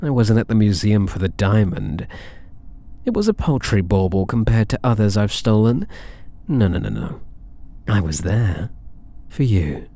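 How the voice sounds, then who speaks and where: A man's voice: lowish voice, expressive, a little slow, pauses often, dark tone. A British man voicing a possessive, smug, doting catboy cat burglar in an intimate ASMR roleplay, coaxing and teasing with theatrical endearments. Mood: adoring, teasing.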